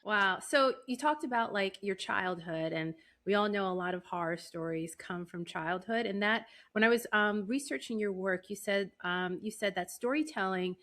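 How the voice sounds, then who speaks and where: A woman's voice: mid-range voice, moderate pace, hardly any pauses, fairly bright tone. A woman hosting a podcast, interviewing in a casual, chatty way with loosely phrased questions.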